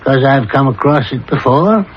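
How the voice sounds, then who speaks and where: An actor playing a calm, insistent psychiatrist with a measured, deliberate delivery in a 1970s radio drama. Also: male voice, mid-range voice, slight background noise, lightly acted. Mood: calm, knowing. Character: calm, probing male psychiatrist pressing his patient with theories. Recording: dull lo-fi 1970s radio recording.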